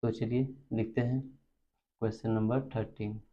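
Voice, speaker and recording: A man's voice: lowish voice, level tone, normal speed. A man with an Indian accent teaching maths step by step, instructional and repeating terms as he works. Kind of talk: step-by-step spoken lesson. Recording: dull lo-fi recording.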